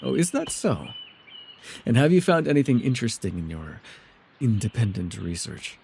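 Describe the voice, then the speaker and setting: A man playing a mysterious, soft-spoken elf with a gently teasing, secretive way, in an immersive ASMR roleplay with hesitant, tender asides. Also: mid-range voice, very animated, moderate pace, creaky, a little hiss or hum. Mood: playful teasing.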